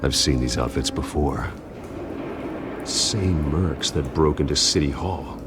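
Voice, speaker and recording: A man's voice: a bass voice, slow pace, noisy background. A man playing a grim masked vigilante detective in a video game, speaking in a terse, brooding, clinical way. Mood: grim, analytical. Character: grim masked male vigilante detective examining a crime scene. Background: music.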